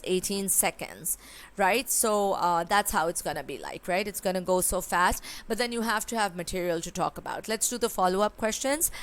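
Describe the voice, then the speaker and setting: A woman's voice: mid-range voice, fairly bright tone, smooth. A young woman, teaching speaking exam tips in a conversational way with many fillers. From Pakistan. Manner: conversational, with frequent fillers and rhetorical "right?". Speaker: IELTS speaking tutor. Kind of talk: exam tips lesson with sample answer.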